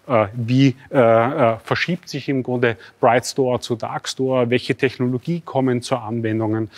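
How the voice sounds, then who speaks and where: Man, middle-aged, medium pitch, formal academic phrasing, hesitant delivery, panel expert. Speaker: university professor, expert guest. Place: large dark indoor studio showroom.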